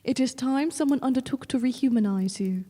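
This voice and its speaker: A woman playing a young, devoted and gently teasing gothic heroine in an audio drama, with composed, formal, old-fashioned phrasing. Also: sits high, brisk. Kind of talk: audio drama acting and first-person narration. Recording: long hall-like reverb.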